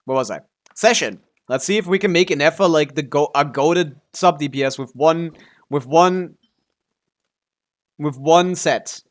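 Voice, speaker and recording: A man's voice: fairly high pitch, unhurried. A man casually commentating on a game livestream, swearing freely as he reacts aloud and talks to himself and his chat. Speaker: game streamer.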